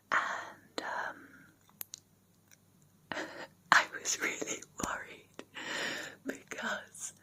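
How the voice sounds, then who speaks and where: A young British woman whispering personal memories in a soft, rambling, sentimental ASMR style with many ums. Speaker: ASMR creator.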